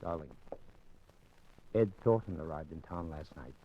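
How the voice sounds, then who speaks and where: A man's voice: rumbling low, unhurried, noisy background. An actor playing a desperate young man racked with guilt, urgent and pleading and sometimes dropping to a whisper, in old-time radio drama style. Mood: uneasy. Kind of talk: 1940s radio acting. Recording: dull lo-fi old radio recording.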